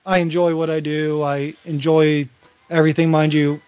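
A man's voice: on the high side, flat delivery, normal speed, darkish tone, clean tone, no room sound, highs cut off. A man in his forties co-hosting a podcast, chatting casually and rambling with frequent restarts. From Virginia. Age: 40s. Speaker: podcast co-host. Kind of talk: casual chat.

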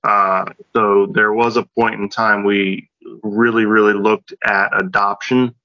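A man's voice: fairly low pitch, level tone, a little slow, bright, forceful tone, no echo, highs cut off. A man in his late thirties co-hosting a podcast, speaking casually and hesitantly with many fillers. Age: late 30s. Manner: hesitant, many ums and restarts. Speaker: podcast co-host. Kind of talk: casual chat.

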